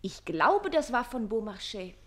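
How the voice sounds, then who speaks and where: Quick-witted young maid: teasing, breathy, highish voice, animated melody, theatrical radio drama. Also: female voice. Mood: earnest sympathy. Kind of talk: classic German radio play acting.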